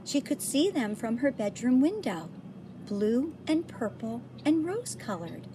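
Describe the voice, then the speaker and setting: A woman reading a children's picture book aloud, pausing to point things out to young listeners. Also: high-pitched, sing-song, noisy background. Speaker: storybook reader.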